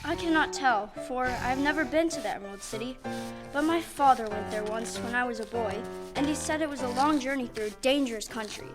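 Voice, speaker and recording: Woman as a polite tin man, high voice, formal and earnest, stage audio play w/ music under it. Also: brisk, lightly acted.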